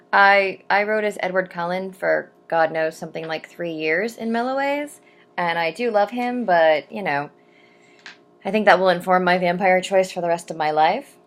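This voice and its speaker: A young adult woman talks casually to her viewers in an easy, rambling way, full of fillers and side comments. Her voice sits at an ordinary middle pitch for a woman. When she reads verse aloud, she slows to a careful, deliberate pace. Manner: chatty and rambling, with frequent ums and asides; reads poetry slowly and deliberately. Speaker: vlogger answering viewer questions. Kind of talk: casual chat to camera.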